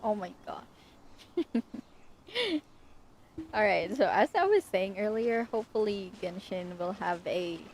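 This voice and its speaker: A woman's voice: mid-range voice, lively intonation, measured pace, a little mellow, a little hiss or hum. A young woman giving casual livestream commentary as she plays a video game. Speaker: game streamer.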